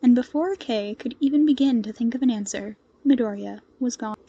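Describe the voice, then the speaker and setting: High-voiced woman narrating fiction. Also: fairly quick, warm tone, breathy edge, dull audio. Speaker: podfic narrator.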